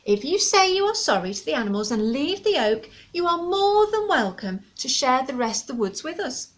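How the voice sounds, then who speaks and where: A woman voicing a wise female owl who speaks calmly, firmly and in measured tones. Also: high-pitched, pitched above the performer's own voice. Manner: calm, firm and measured. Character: wise calm female owl, firm and steady.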